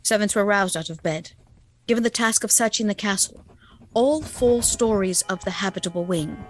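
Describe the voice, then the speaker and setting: An actress playing a haunted young gentlewoman recounting a gothic tale in a radio play. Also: mid-range voice, moderate pace, natural voice, rough recording. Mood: reflective. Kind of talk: dramatic first-person narration in a radio play.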